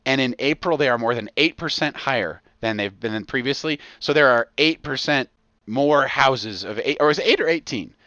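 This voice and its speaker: An American man hosting a casual comedic podcast, talking in an excitable, profane way with punchy repetition. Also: medium pitch, normal speed, dry recording, lo-fi sound. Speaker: podcast host.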